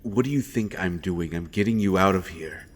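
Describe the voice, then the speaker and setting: Male actor for deep-voiced fantasy roleplay royal interrogator, secretly protective, earnest, pleading urgency. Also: moderate pace. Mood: urgent, earnest. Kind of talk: dramatic fantasy audio roleplay addressed to the listener.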